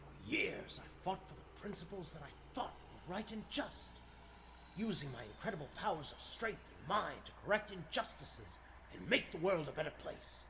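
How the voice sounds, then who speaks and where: A man performs a heroic superhero for an old-time radio play. He is earnest and melodramatic, as if thinking aloud under strain. The pitch swings widely over a gravelly edge, with faint noise in the recording. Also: big pitch swings, moderate pace. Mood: troubled brooding. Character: heroic male superhero, righteous, troubled and straining. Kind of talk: 1940s radio acting.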